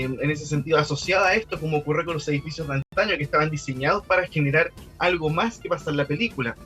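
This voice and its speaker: A man with a Chilean accent and a fairly high voice talks as an interview guest. He explains things at length in a conversational way, doubling back on words as he goes. Faint music plays behind him. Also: sharp tone. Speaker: guest expert on local architectural history.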